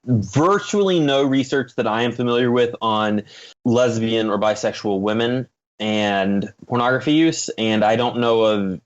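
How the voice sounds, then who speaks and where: A man speaking as an expert guest, explaining in a measured, academic way with careful qualifications. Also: mid-range voice, highs cut off. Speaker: guest expert, psychology professor. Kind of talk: explanatory interview answers.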